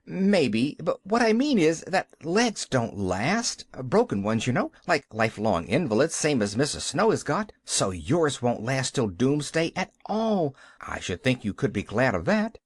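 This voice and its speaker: A bright, bubbly little girl chatters on at a quick clip, her voice bouncing high and low with excitement, as a man reads her part. She sounds eager and a little breathless, and the recording is close and dry. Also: breathy edge, no echo. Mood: earnest.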